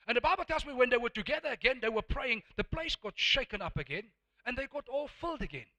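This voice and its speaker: Animated South African preacher, bright high voice. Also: male voice, low-quality recording. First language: Afrikaans. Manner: emphatic, repeats words and phrases for effect, swinging from hushed to loud. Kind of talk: sermon.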